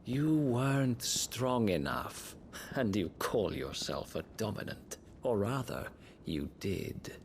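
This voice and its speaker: An actor playing a cool, manipulative schemer in a fantasy game, with a measured, condescending, goading delivery. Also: male voice, lowish voice, very animated, exaggerated voice. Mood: mocking. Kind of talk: dramatic fantasy game acting. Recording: clear background noise under the voice.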